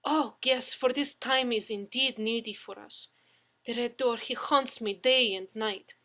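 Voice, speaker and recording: A woman's voice: a little high, fairly even intonation, unhurried, pushed and bright, pitched above the performer's own voice. A woman voicing a coy, effusive young Russian woman who speaks broken English with a Russian accent in a story. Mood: pleading. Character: coy, theatrically pleading young foreign woman, Russian fiancée.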